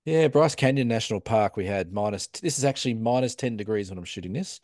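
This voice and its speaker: Low-voiced Australian man, dry sound. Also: lively intonation, fast, darkish tone, no room sound. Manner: easygoing, joking. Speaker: podcast co-host. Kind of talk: casual chat.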